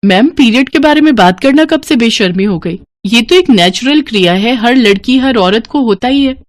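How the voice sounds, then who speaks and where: A woman acts out an outspoken female schoolteacher in a moral story, earnest and protesting. Her voice sits at an average female pitch with a close, dry sound. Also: expressive, moderate pace, no room sound, lightly acted. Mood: indignant. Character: indignant, outspoken young woman schoolteacher pleading her case.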